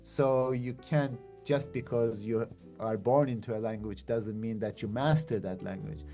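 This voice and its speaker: Middle-aged male academic from Turkey, native Turkish speaker; low, warm, reflective delivery over faint music. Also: steady tone, unhurried. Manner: thoughtful, searching, restarts and rephrases. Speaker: philosophy professor, guest. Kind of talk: reflective interview conversation. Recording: faint guitar music underneath.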